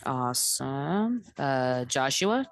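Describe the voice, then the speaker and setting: A woman's voice: very deep voice, sing-song, no echo. A woman hosting a dating show call, calling on guests by name and laughing between answers. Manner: brisk, calls names, laughs often.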